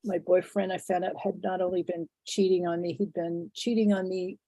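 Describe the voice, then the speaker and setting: Woman, 60s, interview guest, congested from a cold, low pitch, halting and reflective. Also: flat delivery, warm tone, dry recording. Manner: thoughtful, halting, many fillers and restarts. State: congested, phlegmy from a head cold.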